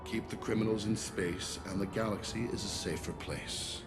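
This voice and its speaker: Man as a deep, stern alien prison warden. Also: fairly even intonation, normal speed, close to an everyday voice. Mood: firm, self-righteous. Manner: formal, authoritative, self-assured. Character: stern alien warden of a space prison ship, self-righteous, commanding. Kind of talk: video game voice acting. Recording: loud music behind the voice.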